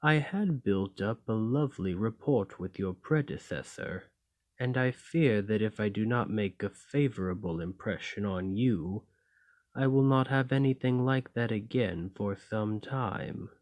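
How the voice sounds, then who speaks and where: A man voicing a gentle, lonely golem in an ASMR roleplay, speaking in a formal, measured and courteous way. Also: lowish voice, lightly acted. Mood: lonely, confiding.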